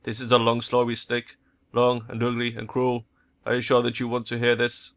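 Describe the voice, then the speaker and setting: A man voicing a cold, guarded cyborg woman in a cyberpunk game, in a cool, detached monotone. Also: mid-range voice, moderate pace, natural voice. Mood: reluctant.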